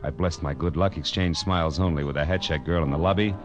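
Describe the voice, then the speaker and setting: An actor playing a wry, hardboiled private detective in an old radio crime drama, with dry wisecracking delivery. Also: male voice, a bass voice, quick pace, soft background music. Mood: wry, narrating. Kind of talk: hardboiled 1950s radio detective narration and dialogue.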